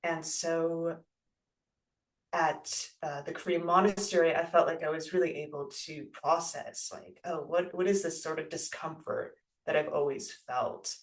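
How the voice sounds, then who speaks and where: A guest who grew up in the United States, speaking in a thoughtful, halting way with many fillers and soft laughs. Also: mid-range voice, fairly even intonation, distant mic, low-quality recording. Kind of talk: reflective casual interview talk.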